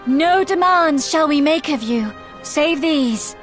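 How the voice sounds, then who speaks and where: High, slow, solemn tribeswoman. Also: expressive, faint music behind. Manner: solemn, measured. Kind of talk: formal fantasy game dialogue.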